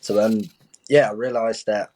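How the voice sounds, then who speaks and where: Frank, chatty young man, low voice. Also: fairly even intonation, moderate pace, darkish tone. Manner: open, frank, chatty, restarts with "I'll be honest". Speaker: guest boxer. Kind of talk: candid podcast interview.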